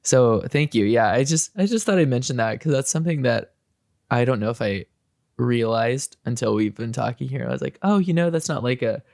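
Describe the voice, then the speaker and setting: A man's voice: medium pitch, expressive, fairly quick, creaky, a little mellow, dry recording, studio quality. A man hosting a podcast, chatting casually and hedging his points with fillers and restarts. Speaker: podcast host. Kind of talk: casual interview chat.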